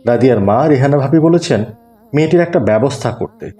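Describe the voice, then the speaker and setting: Man acting mellow, courteous family friend in a cartoon. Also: mid-range voice, expressive, a little fast, natural voice. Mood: earnest, reassuring. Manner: courteous, persuasive. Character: earnest middle-aged male family friend proposing a match. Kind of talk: cartoon dialogue.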